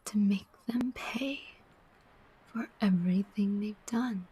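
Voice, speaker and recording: A woman acts out a sardonic demon collector of souls in an ASMR roleplay. The voice is a very breathy whisper, drawn out very slowly. The demon coaxes and persuades with pointed, deliberate questions. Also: mid-range voice, mellow. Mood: sly, righteous.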